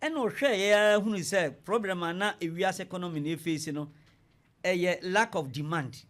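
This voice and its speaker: Male Ghanaian expert guest for a radio interview, high voice, explains point by point with teacherly emphasis. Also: moderate pace, a bit bright. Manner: didactic, emphatic, step-by-step explaining. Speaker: economics lecturer, studio guest.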